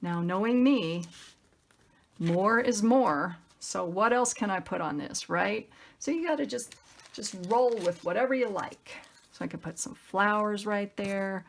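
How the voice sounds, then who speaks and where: A woman's voice: medium pitch, a little slow, creaky. A woman hosting a craft tutorial in a casual, chatty style, upbeat and effusive, thinking aloud as she works.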